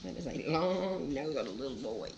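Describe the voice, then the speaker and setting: A woman storyteller with a low, creaky voice reads to children. Her delivery is very animated, with folksy exclamations and chatty asides. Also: normal speed, highs cut off, background noise.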